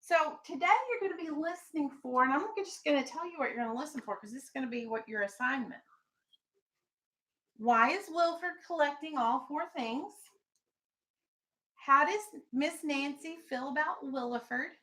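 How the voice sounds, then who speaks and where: A woman teaches an elementary class in a high, bright voice. She explains and reads aloud in an instructional way, putting questions to pupils and keeping them firmly on task. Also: normal speed. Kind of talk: classroom reading lesson and read-aloud.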